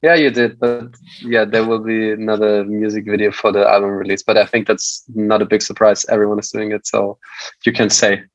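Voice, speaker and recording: A man's voice: fairly low pitch, level tone, brisk, no echo. German man on a dull, lo-fi recording. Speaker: band musician, interview guest. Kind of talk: casual podcast chat. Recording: dull lo-fi audio.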